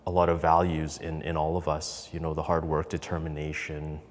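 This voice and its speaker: Podcast guest: man, thirties, low voice, conversational storyteller, long reverb. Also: completely flat delivery, a little fast. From Canada, raised in Calgary from infancy. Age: 30s. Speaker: podcast guest, business co-founder. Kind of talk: candid personal storytelling in an interview.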